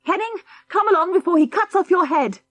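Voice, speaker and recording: A woman voicing a frantic man shouting in panic in a read-aloud story. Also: very high-pitched, lively intonation, brisk, no room sound, voice pushed up. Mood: panicked. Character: panicking man fleeing a sword.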